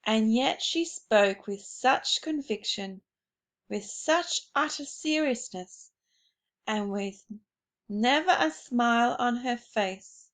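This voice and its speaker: A woman's voice: a little high, vocal fry. A woman reading a children's novel aloud, carefully, with a few slips and restarts. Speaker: reader.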